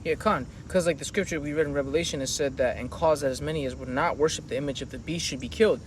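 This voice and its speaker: High-voiced man preaching on a street. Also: quick pace, slight background noise. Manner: animated, slangy, calls listeners man and brother. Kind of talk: impassioned outdoor street teaching.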